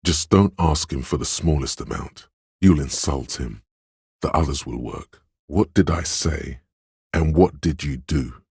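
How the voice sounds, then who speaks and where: An actor playing a smooth, sly inner voice of persuasion in a video game, narrating in a gravelly bass with a measured, insinuating delivery. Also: male voice, darkish tone, no echo, studio quality, exaggerated voice. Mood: coaxing, knowing. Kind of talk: scripted second-person game narration.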